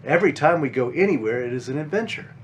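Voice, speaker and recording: An eager, caring five-year-old big sister bunny from a picture book, read out by a man. The pitch swings in a lively way as things are explained simply to a younger child. Faint noise sits behind the voice. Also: quick pace, natural voice. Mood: earnest, caring.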